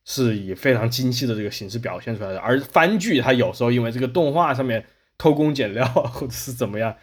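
Man, podcast host, mid-pitched, rapid delivery, casual discussion, wordy and often rephrasing. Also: expressive, slightly rough.

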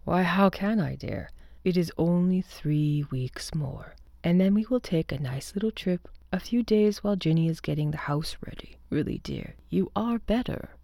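Gravelly dark-voiced husband doc, read by a woman, talks down gently, dry close sound. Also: lowish voice, animated, moderate pace, dry recording. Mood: soothing. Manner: soothing, condescending reassurance. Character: calm, patronizing husband physician, adult man.

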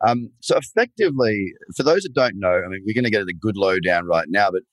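A man's voice: on the low side, expressive, brisk, no room sound. A man hosting an interview show, talking in a friendly, loose way. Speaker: podcast host. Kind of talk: casual interview chat.

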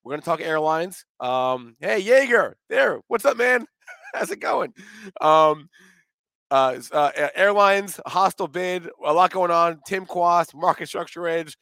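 Male host, hoarse, upbeat and chatty, lots of restarts, dry close sound. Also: on the high side, expressive, a little slow, sharp tone, no echo. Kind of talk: casual live show talk.

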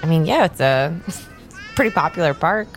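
An actress as a friendly young city woman in an improvised radio drama. Her low voice swings widely in pitch as she talks in a halting, everyday way. Soft music plays behind her. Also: animated. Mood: slightly puzzled. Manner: conversational, hesitant, halting. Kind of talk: improvised audio drama dialogue.

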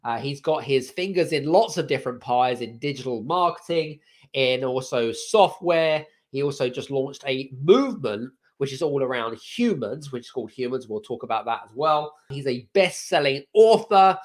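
A man hosting a podcast with a croaky voice, energetic and rambling, with many fillers and restarts. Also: medium pitch, normal speed. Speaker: podcast host. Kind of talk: casual chat.

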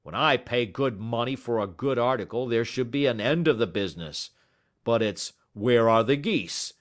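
A man voicing a belligerent, gruff poultry salesman who talks loud and combative in an audiobook story. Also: medium pitch, very animated, takes it slowly, voice pushed up.

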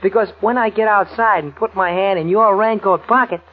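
High-voiced, stammering sidekick. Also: male voice, fairly even intonation, moderate pace, husky. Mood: flustered, rambling. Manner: stammering, muddled, streetwise slangy grammar. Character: nervous, dim, loyal male crook's sidekick. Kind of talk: 1940s radio comic-sidekick acting. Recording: muffled tinny old radio recording.

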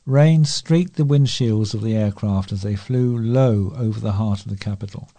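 A man's voice: on the low side, normal speed, warm tone. A man reading scripted local news as a volunteer newsreader.

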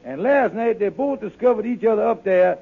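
Brief: wheedling lodge-boss schemer, high and gravelly, folksy and hesitant, dull old radio sound. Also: male voice, expressive, fairly quick, exaggerated voice. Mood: wheedling, scheming. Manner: hesitant, folksy, ungrammatical, repeating himself. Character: wheedling, scheming middle-aged male lodge boss, blustery. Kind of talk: 1950s radio comedy acting.

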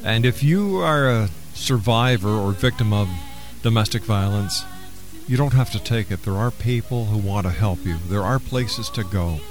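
A man hosting a radio talk show, speaking in a deliberate, earnest way. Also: on the low side, over music. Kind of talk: radio interview and show announcements.

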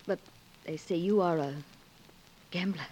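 A woman's voice: fairly low pitch, unhurried, warm tone. A woman playing a genteel, reserved officer's wife, polite and formal, in a 1940s radio western. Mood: polite, guarded. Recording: noisy, hissy 1940s radio recording.

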